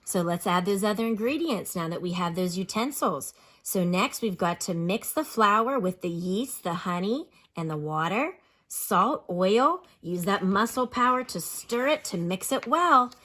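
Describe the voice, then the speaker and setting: A woman teacher reading aloud to children and explaining as she goes, with expressive, instructional delivery. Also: mid-range voice, measured pace. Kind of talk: read-aloud lesson with explanations and questions to children.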